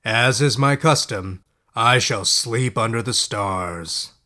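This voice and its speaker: A man voicing a proud young knight and dragon slayer in a fairy-tale audiobook, speaking formally and with boastful, measured assurance. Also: medium pitch, sharp tone. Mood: softly teasing.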